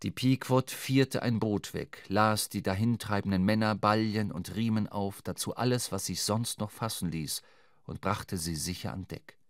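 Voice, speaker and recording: A man's voice: lowish voice, moderate pace, no echo. A man narrating a literary sea adventure for a radio drama, recorded close in a studio.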